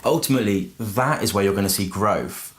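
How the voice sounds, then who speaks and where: A man's voice: on the low side, a little fast. A man giving an informal review in an explanatory way, using everyday examples and questions. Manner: explanatory, uses everyday analogies and rhetorical questions. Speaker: book reviewer and software business owner. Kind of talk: informal book review to camera.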